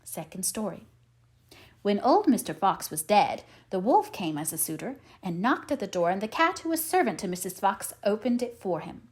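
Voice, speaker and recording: Female storyteller for fairy tale narration, highly expressive pitch, mid register. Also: moderate pace. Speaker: storyteller, audiobook reader.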